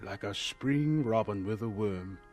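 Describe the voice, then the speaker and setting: An actor playing a humble, earnest man in an audio drama, gentle and deferential in manner. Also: fairly low pitch, unhurried, soft background music, close to an everyday voice. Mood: wistful. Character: humble, earnest scientist recalling his hopeful, polite younger self.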